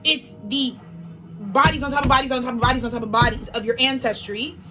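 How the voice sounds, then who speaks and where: A woman's voice: high-pitched, a little fast, music in the background. A woman giving a casual spiritual monologue as an astrology speaker, a little sniffly, rambling with lots of fillers and free swearing.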